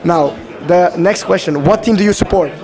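A man with a high voice runs upbeat, casual interviews at a busy gathering. He laughs easily and keeps things light. A loud crowd chatters all around him. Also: moderate pace. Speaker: vlog host interviewing attendees.

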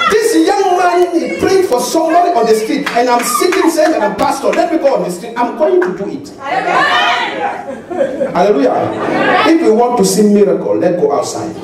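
A man's voice: very high voice, heavy background noise. Cameroonian pastor man, lots of hallelujahs, recorded far off in a big echoey church. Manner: animated, repeats hallelujah and amen often. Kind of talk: church address to congregation. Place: large church hall stage. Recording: echoey hall with crowd, from far off.

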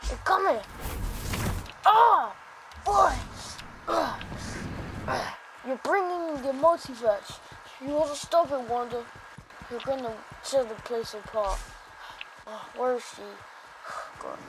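A woman playing an exasperated, boastful male sorcerer hero in an improvised amateur game roleplay, speaking haltingly. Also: high-pitched, lively intonation. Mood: agitated. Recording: noisy amateur game-capture audio.

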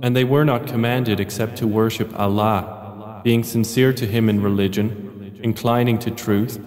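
A man with a fairly low voice reads scripture aloud as a narrator. His delivery is solemn and deliberate, broken into measured phrases. A long reverb trails behind his words. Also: fairly even intonation, darkish tone. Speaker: narrator of English Quran translation.

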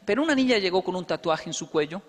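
A man with a Colombian accent speaks high in his range, holding a handheld mic on a large indoor stage. He tells lively stories to an audience and acts out the dialogue with quick asides. A long reverb from the hall trails after his words. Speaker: motivational speaker. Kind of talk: stage talk with storytelling. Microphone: handheld microphone.

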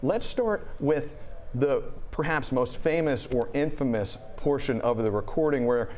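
An American man in his thirties explaining news live on air as a TV reporter, in a measured, careful manner. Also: medium pitch, darkish tone, a little hiss or hum. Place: television news studio.

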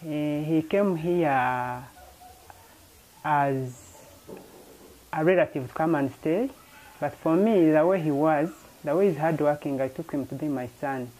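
A woman's voice: low-pitched, unhurried, dark tone, a little hiss or hum, poor audio. A middle-aged woman with a Ugandan accent, answering in an interview indoors. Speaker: interviewee, foster mother. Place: indoors in a living room.

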